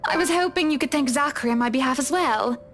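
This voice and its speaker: A woman's voice: high-pitched, fast, faint music behind, lightly acted. A woman voicing a genteel, affectionate and wry lady of a mansion in a visual novel, with a gracious, proper manner. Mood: gracious, warm.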